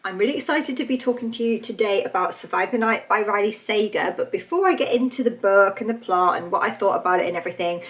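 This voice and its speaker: A woman's voice: mid-range voice, fast talker, hardly any pauses. A young woman talks casually into her camera at home, reviewing a book. Her voice is slightly stuffy and nasal from hay fever. She chats eagerly and fills her sentences with fillers. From United Kingdom. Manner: chatty, enthusiastic, lots of fillers. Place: bright room at home.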